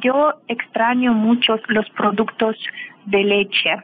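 Russian woman, non-native speaker, slow halting delivery, higher voice, tinny phone line. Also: fairly even intonation. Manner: halting, searching for words, with frequent fillers. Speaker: guest, language teacher and film translator. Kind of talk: casual interview over a call.

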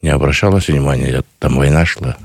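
A man, answering a radio interviewer earnestly and slowly, with many pauses. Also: very deep voice, husky, no echo, clean studio sound. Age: 50s. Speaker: guest, grandson of a missing soldier.

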